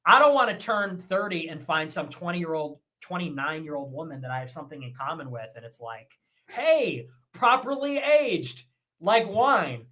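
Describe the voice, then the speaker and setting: A man with a fairly high, bright and sharp voice delivers a brash, mocking rant. He talks in quick bursts and comes across as provocative. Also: lively intonation, low-quality recording. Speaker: online streamer in a clip.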